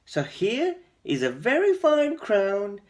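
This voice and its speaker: A man's voice: sits high, wildly swooping pitch, slow, voice pushed up, heavily acted. A man voicing kind, grateful storybook animals in an encouraging, sing-song way.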